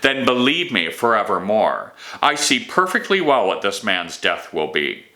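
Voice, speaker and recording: A man's voice: on the low side, sing-song, a little slow, sharp tone, heavily acted. A man voicing a furious, contemptuous wizard in a dramatic storybook reading, scolding and imperious. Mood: scornful certainty. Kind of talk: dramatic audiobook dialogue.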